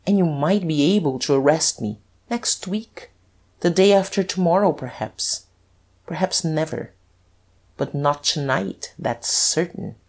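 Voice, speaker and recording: A suave aristocratic gentleman from a storybook, put on by a woman narrator. His voice is husky and pitched up from the narration. He is coolly superior, scornful and teasing. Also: animated, a little slow. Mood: taunting. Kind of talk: dramatized audiobook reading.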